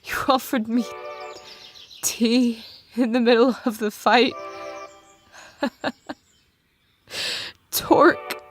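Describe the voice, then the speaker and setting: A woman voicing a dying female monster warrior who speaks weakly in halting, tearful phrases over music in a fan dramatic reading. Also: a little high, steady tone. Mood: wistful, fading. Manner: halting, weak, broken phrases. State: struggling to breathe, tearful. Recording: music bed with birdsong behind.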